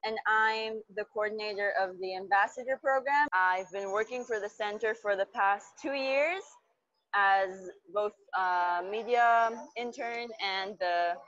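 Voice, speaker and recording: A woman's voice: medium pitch, unhurried, fairly bright tone, no room sound. A woman in her twenties from Israel introducing herself on a lo-fi video call through an earphone mic. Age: 20s. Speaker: program coordinator. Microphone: wired earphone headset, close to camera. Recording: dull lo-fi video call audio.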